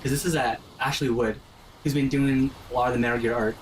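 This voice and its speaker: A man's voice: mid-range voice, steady tone, quick pace, recorded from a distance. Casting: young male gamer, casual and opinionated explainer, recorded off-mic in a noisy room. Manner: opinionated, often tags phrases with "right?". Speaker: game commentator guiding friends. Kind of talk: casual gameplay commentary chat.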